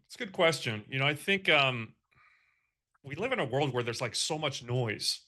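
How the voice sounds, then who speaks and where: Male guest from Belgium with an American accent, thoughtful and chatty, bright tone. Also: medium pitch, expressive, moderate pace. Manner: thoughtful, with many fillers and restarts. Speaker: guest, marketing executive. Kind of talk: conversational interview answers.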